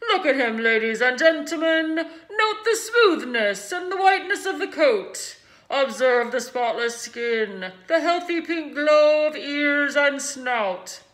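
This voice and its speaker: A woman doing a booming, pompous fair announcer. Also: sing-song, a bit bright, reverberant, heavily acted, low-quality recording. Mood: grandiose. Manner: grand, pompous, drawn-out proclamations.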